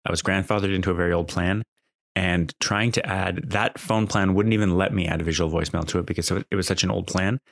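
A man's voice: deep voice, gravelly, no echo, clean studio sound. A man talking casually off the cuff as a podcast host, in a conversational, exasperated, complaining way. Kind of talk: casual unscripted monologue.

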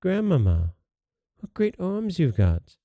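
A man's voice: high voice, wildly swooping pitch, slow, dry recording, phone-quality sound, voice pushed up, exaggerated voice. A man voicing an innocent, trusting little country girl in a storybook, artless and full of wonder. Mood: amazed.

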